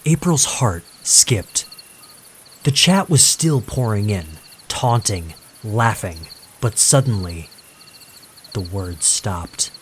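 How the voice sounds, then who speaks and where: Slow, low-voiced man narrating horror. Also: animated, slight background noise. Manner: dramatic, suspenseful, deliberate pauses. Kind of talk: scripted creepypasta narration.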